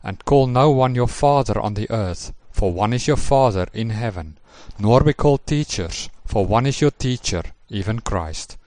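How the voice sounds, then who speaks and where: Low-voiced man reading scripture, dry sound. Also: expressive, a little mellow, no room sound. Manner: measured, formal reading. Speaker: teacher in a recorded presentation.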